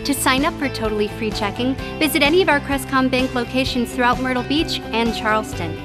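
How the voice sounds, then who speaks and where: A woman's voice: high-pitched, brisk. A woman delivering a scripted advertising read as an announcer. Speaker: commercial announcer. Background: music.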